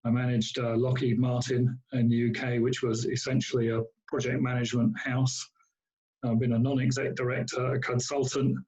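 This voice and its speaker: Older man, dark, flat, modest voice. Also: medium pitch, low-quality recording. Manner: modest, measured listing with ums. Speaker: panelist, veteran project director. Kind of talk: self-introduction on a panel.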